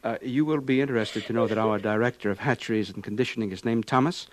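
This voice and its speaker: A man's voice: medium pitch, fast talker, lightly acted. An actor playing a smug, gloating civilized man of the future, with polite, clipped questions, in 1950s radio drama style. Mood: polite probing.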